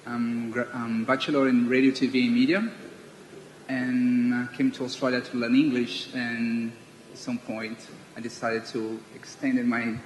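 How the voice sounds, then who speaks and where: A man speaks earnestly on a panel, and his voice sinks softer at the ends of phrases. The room is echoey with a long tail. There is faint background noise. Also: medium pitch, little pitch movement, measured pace. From Brazil. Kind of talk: personal story at a panel.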